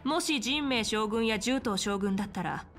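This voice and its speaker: A woman's voice: fairly high pitch, normal speed, a bit bright. Confident lady general from a fantasy game, frank and assertive, music under it. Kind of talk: dramatic Japanese game voice acting.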